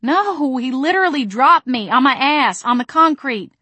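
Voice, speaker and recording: An exasperated young vampire woman from a storybook, acted by a woman reader. She vents in indignant, emphatic bursts, distraught and sharp-tongued. The sound is close and dry. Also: medium pitch, moderate pace, no echo, voice pushed up, close to an everyday voice. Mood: wailing, upset.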